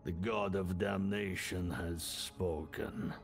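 An actor playing a menacing, imperious cult leader in a fantasy video game, speaking deliberately. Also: male voice, low-pitched, fairly even intonation, gravelly, exaggerated voice. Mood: coldly menacing. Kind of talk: dramatic scripted video game villain. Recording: loud game music behind the voice.

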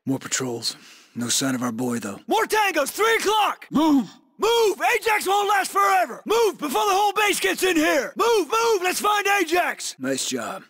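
A man playing a commanding, gruff special forces captain in a military shooter game, giving short, clipped, authoritative orders and callouts. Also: very high voice, huge pitch swings, normal speed, bright, forceful tone, no room sound, raised voice, full character voice. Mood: urgent shouting. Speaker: video game voice actor.

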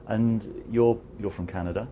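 Halting man asking interview questions. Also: fairly low pitch, fairly even intonation, dark tone, a little hiss or hum.

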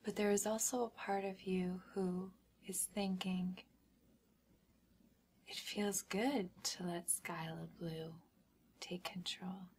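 Very slow whispering woman, commanding and hypnotic. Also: lowish voice, a little mellow, slightly rough. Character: smug, controlling young schoolgirl hypnotist. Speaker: ASMR role-play performer. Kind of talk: whispered ASMR hypnosis role-play.

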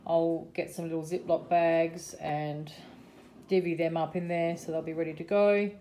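Chatty Australian mum, mellow voice. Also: female voice, low voice, flat delivery, moderate pace, a little hiss or hum. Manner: chatty, running price commentary with frequent ums. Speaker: vlogger showing a grocery haul. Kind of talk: casual chat. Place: home kitchen or dining room. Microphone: phone camera held over a table.

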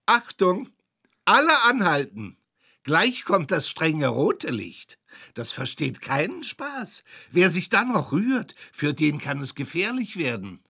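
An actor voicing a friendly talking traffic light in a children's game, with a husky, creaky voice. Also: male voice, a little high, sing-song, slow, sharp tone, exaggerated voice. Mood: announcing.

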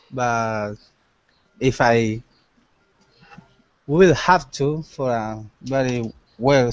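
A man learning English talks casually with a Chilean Spanish accent. His pitch is average. The audio is dry and somewhat muffled, as on a video call. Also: clear, resonant tone, no room sound. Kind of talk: casual classroom answers.

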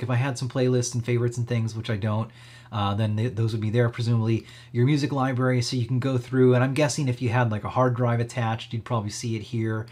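A man in his thirties hosting a live stream, talking through a casual tech demo in an easygoing, rambling way, thinking aloud. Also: medium pitch. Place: small cluttered indoor room.

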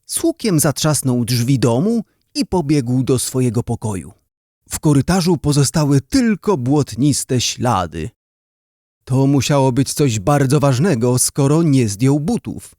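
A man's voice: medium pitch, sing-song, a little slow, dark tone, no echo, clean studio sound. A man narrating a scripted story for children, as a storyteller.

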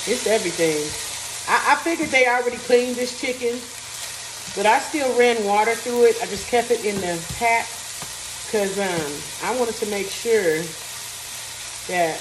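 A woman chatting casually while she cooks in her home kitchen, thinking aloud and reading recipe steps. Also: mid-range voice. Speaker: cooking vlogger. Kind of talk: casual cooking vlog chat. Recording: echoey kitchen, mic at a distance, frying noise. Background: sizzling and stirring in a pan.

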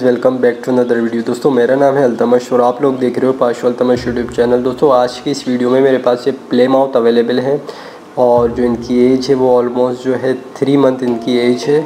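A man's voice: medium pitch, dark tone, slight background noise. A man giving a casual promotional vlog voice-over as a channel host selling birds. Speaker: bird seller and channel host.

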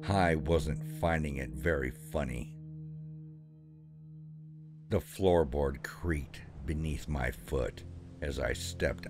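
Very deep, slow male horror narrator. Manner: slow, measured, ominous storytelling. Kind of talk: scripted horror story narration. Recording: voice-over with music bed.

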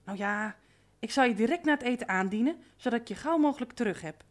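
A woman's voice: fairly high pitch, expressive, fast talker, lightly acted. Woman acting coaxing maid in a radio play, intimate, clingy, lilting up and down. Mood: coaxing, placating. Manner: cajoling, intimate. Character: coaxing, familiar, possessive female housemaid.

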